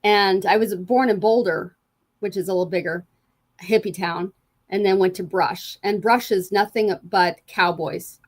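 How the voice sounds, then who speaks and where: A woman from Colorado, co-hosting a casual talk show and chatting quickly about her small-town upbringing. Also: medium pitch, fairly even intonation. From Colorado, USA. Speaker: co-host. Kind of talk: casual radio show chat.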